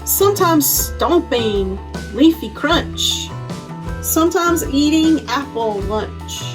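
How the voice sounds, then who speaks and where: High-pitched woman reading to children, slowly. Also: animated, music in the background. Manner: simple, clearly phrased explaining for young children. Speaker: children's librarian, storytime host. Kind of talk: children's storytime talk and picture-book read-aloud.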